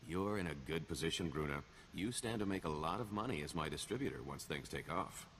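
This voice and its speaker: A silver-tongued video game swindler with a low male voice that rises and dips with great expression. He is self-assured and wry, always working a sales pitch. A light layer of noise sits behind him. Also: lively intonation, brisk, fairly bright tone. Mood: glib, ingratiating. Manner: wheedling salesman patter, wry. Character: smooth-talking male con-artist schemer, sly and self-assured. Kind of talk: video game dialogue acting.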